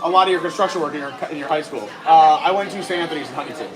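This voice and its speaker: A man's voice: on the high side, rapid-fire, rough recording. An American man from Long Island chatting casually as he hosts a live vlog stream. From Long Island, New York, USA. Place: busy public indoor resort space. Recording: loud crowd in large indoor space. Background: loud crowd chatter.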